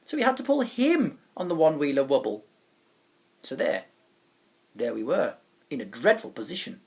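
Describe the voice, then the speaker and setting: Animated man reading a children's storybook, creaky edge. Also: fairly high pitch. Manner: animated, dramatic pauses and emphasis. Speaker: teacher reading aloud.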